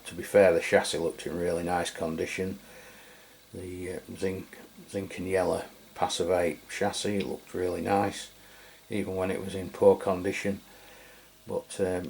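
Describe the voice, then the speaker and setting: A man hosts a casual hands-on review in a deep voice. He talks in a matter-of-fact, rambling way, feeling for his words as he goes. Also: creaky, darkish tone, slight background noise. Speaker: radio review host.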